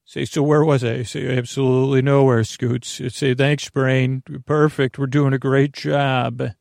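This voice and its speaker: Gravelly guy doing a rambly bedtime podcast, trips over his words, dry close sound, music under it. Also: mid-range voice, little pitch movement, a little slow, darkish tone, dry recording. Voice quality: creaky, gravelly. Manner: meandering, stammering, loses his thread. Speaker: host of a bedtime sleep podcast.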